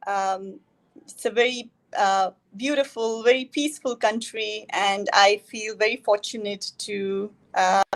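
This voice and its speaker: A woman's voice: medium pitch, fairly even intonation, measured pace, poor audio. A woman from India, a guest in a casual interview over a video call, talking hesitantly with many fillers.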